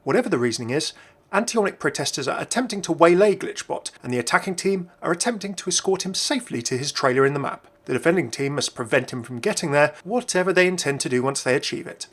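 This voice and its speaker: A man's voice: on the high side, expressive, quick pace, fairly bright tone. A man reading scripted narration as the presenter of a gaming video. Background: background music.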